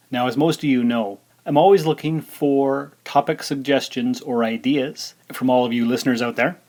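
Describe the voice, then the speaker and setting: A man's voice: medium pitch, darkish tone. A man hosting his podcast, talking in a casual, rambling way with fillers. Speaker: podcast host. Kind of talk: casual solo podcast chat.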